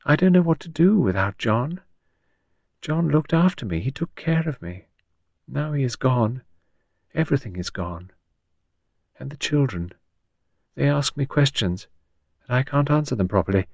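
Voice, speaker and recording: A bewildered widow from an audiobook mystery, put on by a male reader. The voice is lifted in pitch and sounds plaintive and apologetic. She talks vaguely and anxiously, in a dry recording. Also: animated, moderate pace, creaky, pauses often, a little mellow, no echo. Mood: bewildered, piteous.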